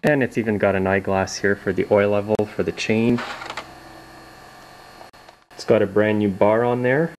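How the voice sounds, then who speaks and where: A young man hosting a casual show-and-tell video in his garage workshop, explaining in a relaxed, plain-spoken way. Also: on the low side, moderate pace, long pauses, a little hiss or hum, rough recording. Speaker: video host. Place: home garage workshop, indoors.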